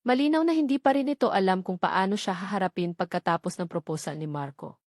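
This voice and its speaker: A woman narrates a romance audiobook in a dramatic, scripted style. Her voice sits at a medium pitch, and the recording is close and dry. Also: a little fast, darkish tone, no room sound.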